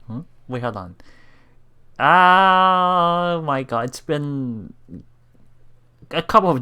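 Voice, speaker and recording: A woman's voice: very deep voice, a bit bright. A woman giving a casual, giggly commentary while reading visual novel lines aloud, often reacting and repeating words. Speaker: let's play streamer. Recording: music behind the voice. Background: visual novel game music.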